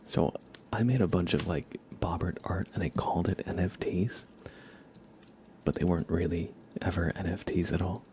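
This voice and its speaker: A man hosting an ASMR livestream, chatting casually and haltingly in a soft, hushed voice that drifts into whispering. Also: deep voice. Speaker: livestream host. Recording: steady background noise with keyboard typing.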